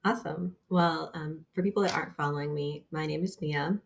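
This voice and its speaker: A woman in her thirties with a Bosnian accent speaks as an interview guest over a remote call. Her voice sits low and carries a gravelly fry. She talks earnestly, pausing on fillers as she goes. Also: steady tone. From Bosnia and Herzegovina. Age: 30s. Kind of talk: casual interview.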